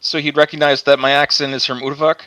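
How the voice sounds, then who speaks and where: A man playing a tabletop game, talking casually and restarting his sentences. His voice is bright and a little high. It sounds dry and close, as through a headset on a voice call. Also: flat delivery, fast, dry recording. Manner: casual, many fillers and restarts. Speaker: player. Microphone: headset over a voice call.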